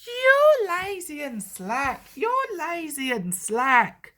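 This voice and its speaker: Storybook railway coaches voiced by a woman, cheeky and rude. The taunt is drawn out in a slow, high sing-song. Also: wildly swooping pitch, bright, forceful tone, full character voice. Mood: mocking.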